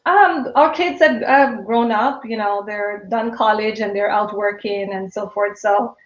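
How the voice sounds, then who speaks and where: A woman's voice: mid-range voice, unhurried, roomy sound, dull audio. A woman in her fifties with a Jamaican accent blended with British and American sounds, chatting casually and laughing often as a guest on a live stream. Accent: Jamaican, mixed with British and American. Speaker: guest, small business owner.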